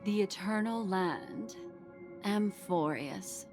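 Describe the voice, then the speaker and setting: A woman's voice: medium pitch, slow, lightly acted. Game role: woman as enigmatic female seer, silky, formal, theatrical, playfully teasing, over prominent music. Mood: mysterious. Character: mysterious, teasing female memory keeper with courtly poise. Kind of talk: scripted game dialogue.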